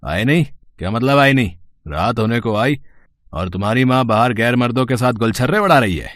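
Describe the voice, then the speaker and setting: A man acts out a drunken, bullying family man in an audio story. He is crude, suspicious and accusing, with a mid-pitched voice recorded close and dry. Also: expressive, fairly quick, fairly bright tone, no echo, close to an everyday voice. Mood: angry, accusing. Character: drunken, abusive, suspicious husband and father. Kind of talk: acted dialogue in an audio story.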